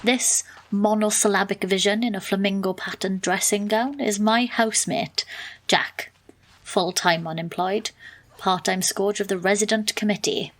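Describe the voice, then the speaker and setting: A woman's voice: medium pitch, slight background noise, close to an everyday voice. A woman playing a wry, exasperated young woman, narrating and acting in an audio drama. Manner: dry, wry, understated.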